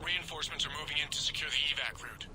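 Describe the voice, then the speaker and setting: A man playing a brisk, businesslike military pilot giving clipped reports in a video game. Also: a little high, over music, close to an everyday voice. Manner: urgent, clipped radio reporting. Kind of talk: video game combat radio chatter.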